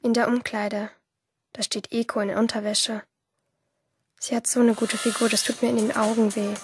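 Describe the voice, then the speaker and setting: A woman acting a resentful, obsessive teenage schoolgirl who narrates in a radio play, with a husky voice. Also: fairly high pitch, little pitch movement, normal speed, background noise, close to an everyday voice. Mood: envious, intent.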